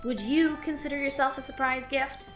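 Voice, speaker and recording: A woman voicing a weary, sarcastic alien office worker in an audio drama, with a dry, deadpan delivery. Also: sits high, moderate pace, close to an everyday voice. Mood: sardonic. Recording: roomy echo, faint music.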